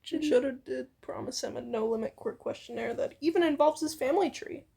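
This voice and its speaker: Woman, high voice, creaky fry, playful story reading, giggly, joking asides. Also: brisk, mellow. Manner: giggly, breaks off to joke. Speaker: fan fiction reader and co-host. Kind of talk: playful co-read story narration with banter.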